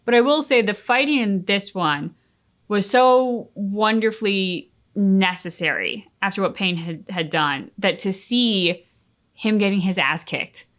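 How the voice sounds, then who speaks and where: Woman, medium pitch, reaction channel co-host, casual reflective discussion, earnest, emphatic, articulate. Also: a little slow, fairly bright tone.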